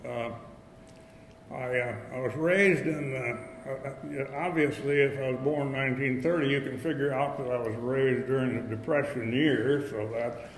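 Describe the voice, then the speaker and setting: A man in his eighties from upstate New York, giving a folksy, halting talk at a recovery meeting, with many fillers and restarts. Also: mid-range voice, steady tone. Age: 80s. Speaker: AA speaker. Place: meeting hall. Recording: echoey hall, faint background noise.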